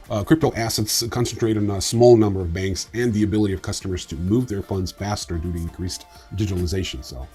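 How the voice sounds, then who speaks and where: A man's voice: fairly low pitch, mellow. A man hosting a news show, reading scripted reports in an informal way with casual asides. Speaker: host of a crypto news show.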